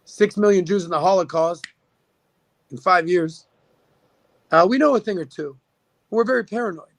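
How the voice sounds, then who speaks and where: A man with a high, gravelly voice makes his case in a casual podcast talk, piling up figures and dropping in fillers and restarts. The room sounds dry and close to the mic. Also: moderate pace, no echo. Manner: opinionated, argues with numbers, many fillers. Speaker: podcast guest. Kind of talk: casual political chat.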